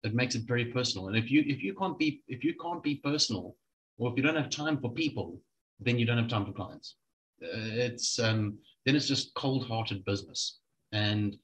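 A man's voice: medium pitch, animated, brisk. A middle-aged man co-hosting a casual video-call chat, speaking emphatically and repeating his phrases. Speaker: co-host. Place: small home room, video call. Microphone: shared desk microphone. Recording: noisy video-call audio.

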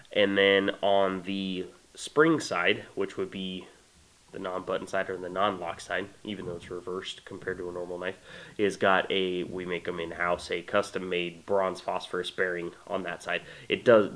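A man's voice: low voice, low-quality recording. A man in his thirties with a congested voice from a cold, casually and enthusiastically talking to camera about a knife he made, filled with "uh" and "you know", in a small indoor room. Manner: relaxed, enthusiastic, many fillers. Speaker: knife maker presenting his knife. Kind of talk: casual product talk to camera.

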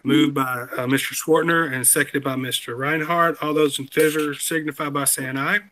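A middle-aged man chairing a formal board meeting over a video call, measured and procedural with small hesitations. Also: on the high side, fairly even intonation, a crowd in the background, rough recording. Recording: video call with faint background noise.

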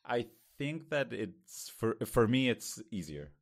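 A man with an Israeli accent, a Hebrew native speaker, talking candidly on a podcast, thinking aloud with many pauses and fillers. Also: medium pitch, sing-song. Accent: Israeli, lighter than most. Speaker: podcast co-host. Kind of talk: casual conversation.

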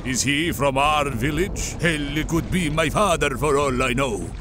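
A man playing a blunt, excitable Norse villager in a dramatized comic book, speaking emphatically. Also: a little high, measured pace, background noise, natural voice. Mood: speculating.

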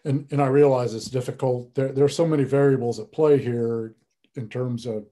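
Older male moderator, mellow tone, measured probing questions, home study on a video call. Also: mid-range voice, steady tone. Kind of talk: panel discussion.

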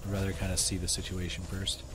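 A man's voice: low-pitched, monotone, fast. A man in casual gaming chat with friends as they play, his voice slightly scratchy. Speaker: gamer playing a survival game with friends. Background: game music.

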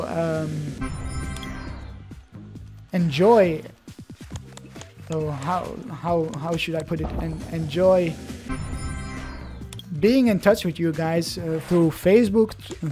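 Mellow, hesitant Belgian Dutch-accented host. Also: mid-range voice, takes it slowly, pauses often, faint music behind. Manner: hesitant, frequent restarts and filler sounds. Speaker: channel host opening card packs. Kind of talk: casual gameplay commentary.

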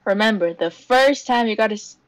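A woman's voice: on the high side, normal speed, dry recording, natural voice. A woman voicing a cheerful, easygoing, goofy male cartoon buddy with a folksy, chummy manner. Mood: teasing.

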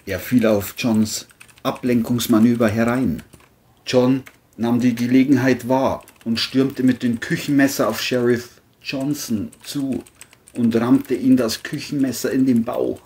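A woman with a very deep, mellow voice narrates a crime story. She reads the script at a slow pace. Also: steady tone.

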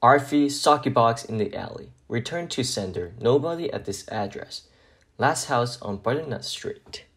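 Animated man reading a children's book. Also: medium pitch, moderate pace. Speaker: storybook reader. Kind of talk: expressive children's read-aloud.

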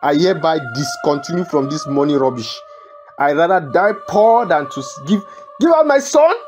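A man's voice: a little high, animated, moderate pace, natural voice. Give the voice of a man acting a poor, desperate husband with a Nigerian accent. He stammers and repeats himself in melodramatic film style. Music plays behind him. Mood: indignant, defiant. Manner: stammering, agitated, repeats words. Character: desperate poor husband entangled in a money ritual.

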